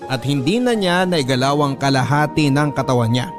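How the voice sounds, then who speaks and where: A man's voice: mid-range voice, normal speed. A Filipino man reading a heartfelt first-person story as a radio drama narrator. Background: soft music.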